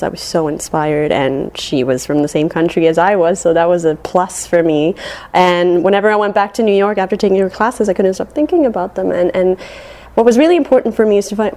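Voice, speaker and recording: A woman's voice: lowish voice, darkish tone. A woman actor from Finland, talking in a thoughtful, chatty way in a radio interview.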